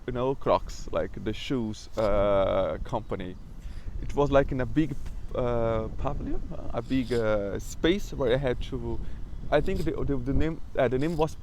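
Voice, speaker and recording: A man's voice: mid-range voice, darkish tone, a little hiss or hum. Brazilian man who stutters. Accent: Brazilian Portuguese. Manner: stutters, with repetitions and restarts. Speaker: vlogger. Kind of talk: casual personal vlog.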